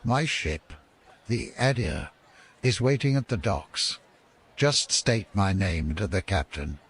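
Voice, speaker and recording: An actor playing a mysterious, calm mentor in an audio fantasy game, deliberate and cryptic, with a gravelly, husky voice. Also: male voice, deep voice, full character voice. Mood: intriguing, persuasive. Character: mysterious calm male mentor recruiting a young adventurer.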